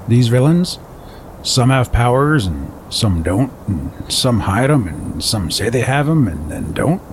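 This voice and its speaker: A man's voice: medium pitch, slight background noise. A man playing a dry, streetwise ex-crook. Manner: dry, commanding, wry. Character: streetwise man, former criminal gone legit, mentor. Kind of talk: audio drama acting.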